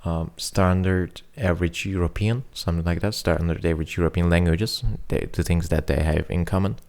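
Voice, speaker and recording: A man with a low, gravelly voice walks through an idea on a relaxed podcast. He fills the gaps with "like" and "um" as he goes, and his voice rasps with fry. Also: brisk. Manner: explanatory, loose with fillers like "like" and "um". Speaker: podcast co-host. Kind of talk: casual podcast conversation.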